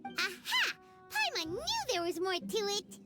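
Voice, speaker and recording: A woman voicing a tiny, excitable, cheeky floating fairy companion in a video game, talking like a child. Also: very high voice, measured pace, over loud music, heavily acted. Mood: excited, cheeky. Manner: speaks of herself in third person, exclamatory.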